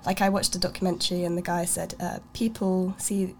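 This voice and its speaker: Swedish-born woman hosting a chat, gravelly voice, lots of "like" and "um", talks casually. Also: on the low side, little pitch movement, brisk, a little hiss or hum. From Sweden. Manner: chatty, many fillers and restarts. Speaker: radio show host.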